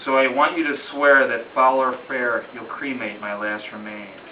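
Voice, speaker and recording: A man's voice: medium pitch, little pitch movement, natural voice. Man reciting as a folksy freezing gold prospector, echoey. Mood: moaning, pleading. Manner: plaintive, folksy, drawn-out. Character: freezing, dying gold prospector pleading his last wish. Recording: roomy distant muffled home recording, faint noise.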